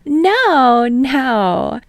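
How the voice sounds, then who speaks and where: A woman voicing a bubbly young female talk show host in a fan fiction audiobook, her lines high, loud and drawn out. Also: sing-song, creaky, no room sound, exaggerated voice. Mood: gushing. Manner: gushing, drawn-out, playful.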